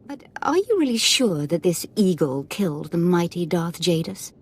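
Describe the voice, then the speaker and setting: A sadistic dark lady of a space fantasy game, with a low, husky voice. She is imperious and sweetly mocking, every line edged with menace. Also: big pitch swings, moderate pace, heavily acted. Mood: imperious, menacing. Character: menacing, playfully sadistic female Sith lord. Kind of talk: scripted game dialogue.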